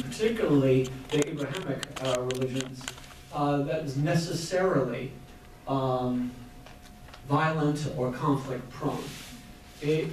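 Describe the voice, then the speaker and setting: A man's voice: mid-range voice, darkish tone, far from the mic, a little hiss or hum. Older man moderating in an echoey hall. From Iran. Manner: measured, hesitant with many fillers. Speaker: panel moderator. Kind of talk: public panel talk. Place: university lecture hall.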